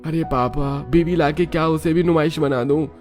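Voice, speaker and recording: A man's voice: fairly high pitch, steady tone, moderate pace, a little mellow, soft background music, natural voice. A man voicing an exasperated, reluctant young village bachelor son in a cartoon, protesting as he talks. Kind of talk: Hindi cartoon dialogue.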